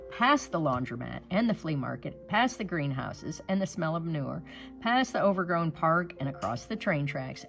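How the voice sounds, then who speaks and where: A woman reading a picture book aloud in an expressive, dramatic storytelling manner. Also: sits low.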